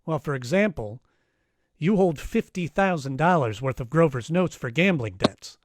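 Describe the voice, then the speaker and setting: A man's voice: fairly high pitch, lively intonation, moderate pace, no echo. A man playing an unflappable, wisecracking private detective who also narrates in a first-person noir radio style. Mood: wry, unflappable. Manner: dry, wisecracking.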